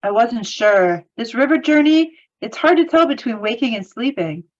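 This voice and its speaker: A woman's voice: fairly high pitch, expressive, moderate pace. A woman playing a solemn, troubled young male hobbit in a fantasy table read, slightly muffled. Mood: uneasy.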